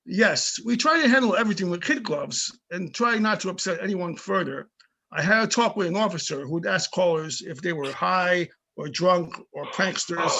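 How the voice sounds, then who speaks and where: Man reading as husky, high-pitched older police chief. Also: sharp tone, exaggerated voice. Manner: plainspoken, opinionated, small-town storytelling. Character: tough but amicable older male police chief. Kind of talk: amateur readers theater reading over videoconference.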